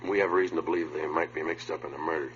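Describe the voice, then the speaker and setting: An actor playing a terse, businesslike detective sergeant in a 1950s radio police drama, speaking in a deadpan, clipped way. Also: male voice, extremely low pitch, very fast, slight background noise. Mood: stern, probing. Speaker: lead actor and narrator. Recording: muffled, humming vintage radio recording.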